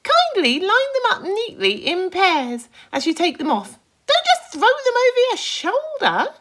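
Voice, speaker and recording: A woman voicing a bossy, irritable, rude male centipede in a children's storybook, loud and scolding. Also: very high-pitched, huge pitch swings, measured pace, voice pushed up, full character voice. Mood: bossy, impatient. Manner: shouting, scolding.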